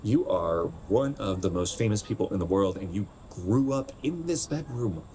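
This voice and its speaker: A young man playing a wistful, self-conscious 27-year-old in a naturalistic radio drama, speaking in a halting, conversational way. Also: on the low side, animated, warm tone, slight background noise, close to an everyday voice, rough recording. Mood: defensive, earnest. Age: young adult.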